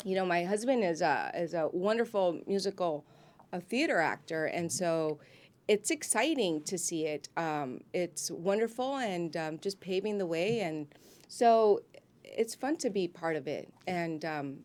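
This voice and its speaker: A woman's voice: fairly low pitch, expressive, unhurried. Effusive woman chatting with many ums. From grew up in Miami, United States. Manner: warm, effusive and talkative, with frequent ums and restarts. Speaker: actress guest. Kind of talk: casual interview chat.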